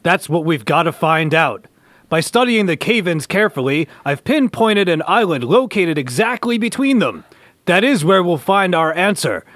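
A man's voice: high voice, very animated, normal speed, a bit bright, no room sound, clean studio sound. A man playing an earnest, commanding scientist superhero who makes speeches in an amateur comic-book radio play. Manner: speechifying, declamatory.

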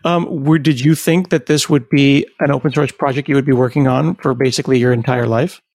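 A man's voice: mid-range voice, fairly even intonation, fairly quick, creaky, a little mellow, no echo. A man hosting an interview podcast, talking in a conversational presenter's way. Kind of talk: conversational tech interview with sponsor read.

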